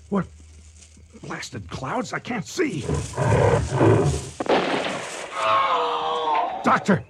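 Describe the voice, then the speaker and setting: An actor playing a grim, determined lion hunter in a radio drama, terse and commanding. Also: male voice, sits high, full character voice. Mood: urgent. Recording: noisy radio drama recording.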